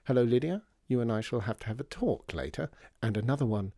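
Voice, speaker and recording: Man voicing a brisk no-nonsense woman. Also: medium pitch, animated, dark tone, no echo, studio quality. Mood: brisk, practical.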